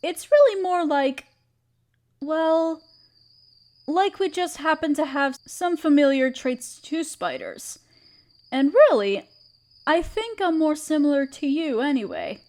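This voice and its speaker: Lady doing a motherly spider monster queen, high sweet voice, takes her time, tender and hesitant, audio roleplay. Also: expressive, slow pace, darkish tone. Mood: puzzled, reassuring. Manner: tender, soothing, hesitant and coaxing. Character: gentle motherly half-spider queen, protective and doting. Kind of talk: roleplay audio drama, softly addressing the listener. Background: chirping crickets.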